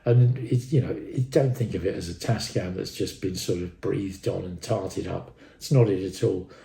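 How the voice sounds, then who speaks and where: A man's voice: sits low, moderate pace, vocal fry, mellow. A British man presenting an informal, unscripted equipment review, talking in a rambling, hesitant way as an enthusiast. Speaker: presenter of an audio enthusiast vlog. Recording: roomy, echoing sound.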